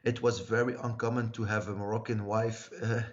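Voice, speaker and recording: A man in his thirties from Belgium, telling his life story as a livestream guest in a halting way, searching for words. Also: on the low side, level tone. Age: 30s. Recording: muffled lo-fi livestream audio.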